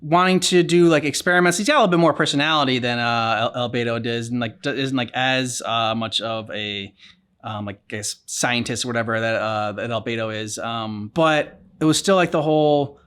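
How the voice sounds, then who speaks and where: A man co-hosting a game reaction, chatting informally and thinking aloud in halting phrases. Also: medium pitch, steady tone, a bit bright, clean tone. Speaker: reaction channel host. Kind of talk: casual commentary chat on a video game.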